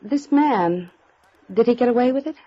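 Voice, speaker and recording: A woman's voice: fairly high pitch, lively intonation, normal speed. An actress playing a guarded, upper-class young woman with cool, defensive retorts in a 1950s radio drama. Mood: guarded, challenging. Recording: muffled old radio recording.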